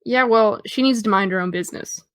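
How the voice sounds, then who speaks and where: A woman's voice: medium pitch, a little fast, clear, resonant tone, no echo, clean studio sound, lightly acted. A young American woman playing a guarded, dryly witty teenage girl in understated, naturalistic audio drama dialogue. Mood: defensive.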